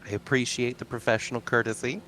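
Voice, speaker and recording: A man's voice: medium pitch, fairly even intonation, fast, pushed and bright, a little hiss or hum. A man playing a tabletop game, speaking in a casual, polite way in and out of character. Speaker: player.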